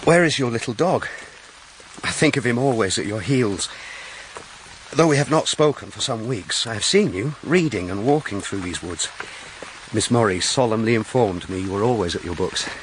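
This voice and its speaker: A man's voice: lowish voice, animated, normal speed, close to an everyday voice, rough recording. An actor playing a courteous, wry young country clergyman in a period audio drama. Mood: earnest, tender. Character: gallant, teasing, earnest country clergyman. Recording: rain noise behind the voice.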